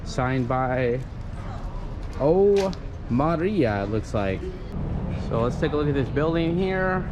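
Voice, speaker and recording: A man's voice: medium pitch, animated, slow pace, pauses often, dull audio. A man narrating a casual walking tour outdoors, enthusiastic and chatty, addressing viewers as guys. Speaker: travel vlogger. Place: outdoors on a busy riverside street. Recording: loud street and traffic noise.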